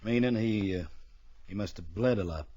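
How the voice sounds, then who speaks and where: A man acts out a tough, laconic marshal of the Old West for a radio serial. His voice is low and dark, his phrases short and to the point. The sound is dry and slightly dulled, like vintage radio. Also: normal speed, dry recording, dull audio, close to an everyday voice. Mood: terse, businesslike. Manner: clipped, terse questioning. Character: laconic, tough frontier marshal who narrates. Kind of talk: old radio western acting.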